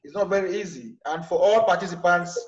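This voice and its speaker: A man with a fairly high voice thanks his instructor over a video call, restarting his phrases as he goes. His audio is roomy and muffled. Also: fairly quick. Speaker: course participant.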